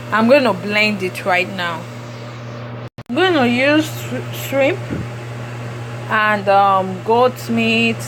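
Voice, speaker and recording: A Nigerian woman whose first language is Yoruba, talking through a cooking tutorial in a halting way with many fillers. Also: on the high side. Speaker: cooking vlogger. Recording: background noise.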